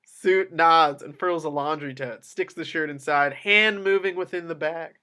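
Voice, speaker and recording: A man with a slightly high voice narrates a film script. He reads stage directions in a present-tense, scripted style at a table read. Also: a little slow, bright, forceful tone, clean tone.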